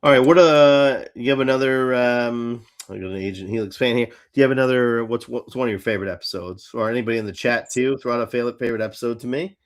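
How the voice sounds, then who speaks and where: A man's voice: medium pitch, fairly even intonation, quick pace, few pauses. A man hosting a fan show in casual chat, rambling and hesitant, with some swearing. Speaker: host of a live fan show.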